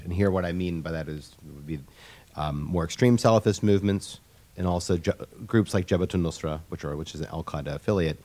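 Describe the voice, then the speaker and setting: A man on a panel answering a question, speaking in a halting, explanatory way with many restarts. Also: low-pitched, darkish tone.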